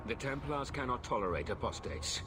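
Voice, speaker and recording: An actor voicing a formal, unyielding templar knight in a video game. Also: male voice, medium pitch, animated, normal speed, close to an everyday voice. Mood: stern. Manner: formal, stern. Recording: noisy game capture with ambience.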